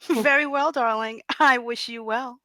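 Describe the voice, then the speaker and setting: A woman's voice: fairly high pitch, fairly even intonation, takes it slowly, bright, forceful tone, poor audio. A woman at a table read playing a gracious but smug fairy godmother, airy and condescending. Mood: smugly gracious. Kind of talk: table read of a comedy fantasy script.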